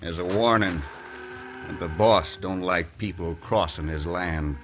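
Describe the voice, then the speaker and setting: Low-voiced menacing western gunman. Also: unhurried, over loud music. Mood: threatening. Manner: blunt, rough western talk. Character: tough, menacing male hired gunman. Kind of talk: 1940s-50s radio western acting. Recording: very noisy old radio recording.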